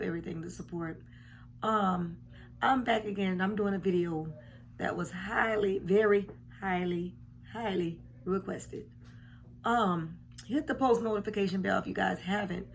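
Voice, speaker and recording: Woman, forties, hoarse home vlogger; frank and emphatic, faint hum under the camera audio. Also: medium pitch. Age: 40s. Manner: frank, emphatic, repeats herself. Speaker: commentary vlogger. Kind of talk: casual reaction commentary. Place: home room indoors. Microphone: camera at desk distance.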